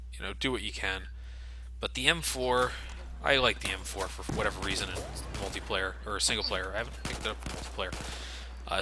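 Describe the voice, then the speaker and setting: A man giving casual, explanatory game commentary with plenty of swearing. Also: on the low side. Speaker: let's play commentator. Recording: loud game gunfire behind voice. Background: video game gunfire and action.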